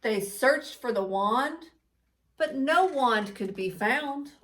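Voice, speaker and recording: A woman reads a children's bedtime story in a high voice. Her pace is slow and deliberate, with dramatic, expressive storytelling. She sounds slightly distant from the microphone. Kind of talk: expressive children's picture book read-aloud.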